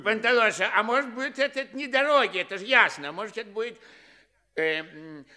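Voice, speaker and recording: An actor playing a foolish, flustered comic servant at court in a theatrical radio comedy, often repeating himself. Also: male voice, high-pitched, brisk, sharp tone. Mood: foolish, playful.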